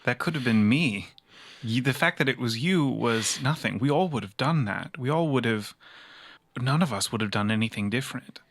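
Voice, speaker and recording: A man playing a consoling, remorseful investigator in an improvised cyberpunk tabletop game, earnest and halting. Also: mid-range voice, expressive, fairly bright tone, no room sound, studio quality.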